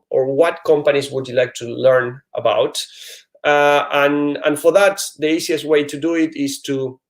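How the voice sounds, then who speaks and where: A man whose first language is Spanish, with a Spanish-speaking accent, hosting a live job-search Q&A stream in an earnest, hesitant way with many fillers and restarts. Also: medium pitch. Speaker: job search Q&A host, IT recruiter.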